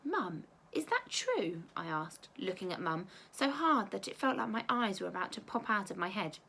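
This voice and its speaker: A woman teacher reading a children's storybook aloud with expressive narration. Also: mid-range voice, brisk, creaky.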